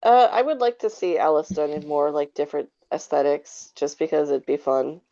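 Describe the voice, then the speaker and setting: A woman's voice: sits low, moderate pace, mellow, no echo. A woman chatting casually in a podcast discussion, speaking in a tentative, hedging way. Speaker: podcast panelist. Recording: slightly dull, lo-fi audio.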